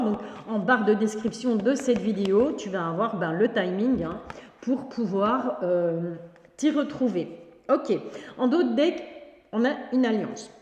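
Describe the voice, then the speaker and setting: A woman giving an informal tarot reading straight to the viewer, halting and repeating herself as she goes. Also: medium pitch, a little mellow, a little hiss or hum. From Belgium. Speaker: tarot reader and online host. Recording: echoey, reverberant room.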